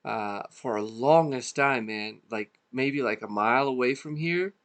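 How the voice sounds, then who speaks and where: A man born in Serbia, co-hosting a podcast in a relaxed, casual chat, swearing freely and trailing off mid-thought. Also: medium pitch, unhurried. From Serbia (former Yugoslavia). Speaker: podcast co-host.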